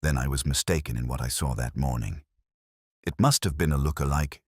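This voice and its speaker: A man voicing a tired, evasive gentleman solicitor in an audiobook, reluctant, clipped and formal. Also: very deep voice, a little fast, breathy edge, no room sound, studio quality. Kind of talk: audiobook character dialogue.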